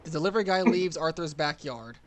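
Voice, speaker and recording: Male narrator for a play reading, moderately high voice, scripted stage-direction delivery. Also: lively intonation, fairly quick, fairly bright tone.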